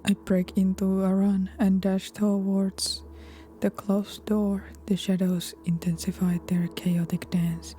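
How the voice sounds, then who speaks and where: A woman doing let's play commentary, reading game text aloud in a soft-spoken voice that often turns whispery. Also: mid-range voice, little pitch movement, slow pace. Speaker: video game streamer. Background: game music.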